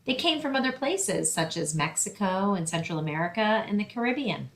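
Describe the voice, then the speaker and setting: A woman's voice: mid-range voice, lively intonation, fairly quick, a little hiss or hum. A woman reading a picture book aloud and explaining things simply for children, as a librarian hosting story time.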